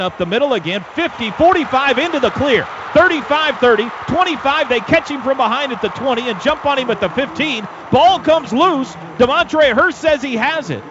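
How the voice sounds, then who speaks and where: An American man calling live football on radio as play-by-play announcer, in short clipped present-tense bursts. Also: high voice. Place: stadium radio booth. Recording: dull, noisy stadium radio broadcast. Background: clear outdoor stadium background noise.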